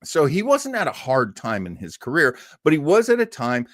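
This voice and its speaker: Animated man hosting a casual live stream. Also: a little high, normal speed, dry recording. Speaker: host of a live listening party.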